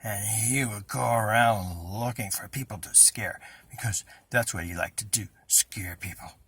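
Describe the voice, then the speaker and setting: A man voicing a young storybook boy who speaks in a hushed, hesitant, drawn-out way, spooky and secretive. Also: on the low side, husky, no echo, pitched below the performer's own voice, heavily acted. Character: Reggie, young boy telling spooky bedtime stories, evasive.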